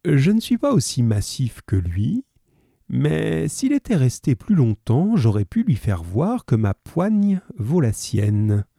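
Guy doing a calm ironic detective, smooth and mocking, raised pitch from a dark voice. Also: slow, no echo, studio quality, heavily acted. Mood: amused.

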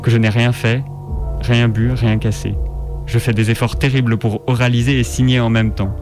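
A man's voice: medium pitch, level tone, normal speed. A man reading a first-person story as dramatic scripted narration. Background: music.

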